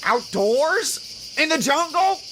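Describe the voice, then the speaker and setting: A fussy, nervous space traveler for an audio drama, acted by a woman. The voice is fairly high and very lively in pitch, whining and hesitating with squeamish worry. Bugs chirp clearly in the background. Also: takes it slowly, exaggerated voice. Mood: anxious. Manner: whiny, hesitant complaining. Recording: insect-filled jungle noise behind.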